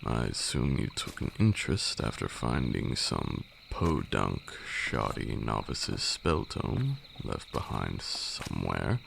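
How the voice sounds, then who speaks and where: A man playing an ancient, haughty vampire lord in an ASMR roleplay, a condescending mentor with formal, archaic speech and a gravelly voice. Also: slow pace, hardly any pauses, full character voice. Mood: knowing, teasing. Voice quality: very deep, gravelly. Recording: steady noise with night insects. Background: crickets and night insect ambience.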